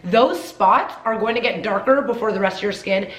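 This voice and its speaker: A woman's voice: mid-range voice, normal speed, a bit bright, roomy sound. A young woman vlogger giving casual, emphatic skincare advice, a little nasal and congested from a cold. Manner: chatty, emphatic, repeats for stress. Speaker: beauty vlogger.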